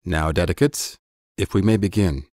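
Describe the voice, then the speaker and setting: A man playing a methodical mage teacher in an audiobook drama, instructing with measured authority. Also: low voice, a little mellow, no echo, studio quality, close to an everyday voice. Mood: instructive.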